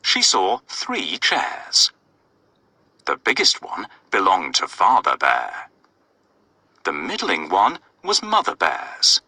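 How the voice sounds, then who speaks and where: A man tells a children's story in a very bright, forceful voice with a husky grain. His delivery swoops widely and expressively as he addresses young listeners, in a dry, close recording. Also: low-pitched, wildly swooping pitch, takes it slowly, dry recording. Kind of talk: scripted children's story narration.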